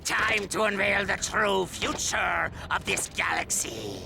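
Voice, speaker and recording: An actor playing a maniacal, grandiose robot villain in a cartoonish video game, pompous and theatrical, with bursts of shouting. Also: male voice, high-pitched, slow, vocal fry, background noise, full character voice.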